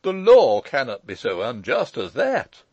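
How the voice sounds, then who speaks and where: Man acting a pompous, fussy old gentleman. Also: on the low side, sing-song, measured pace, no echo, muffled recording, exaggerated voice. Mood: indignant. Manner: pedantic, formal, long-winded, hesitating with ums.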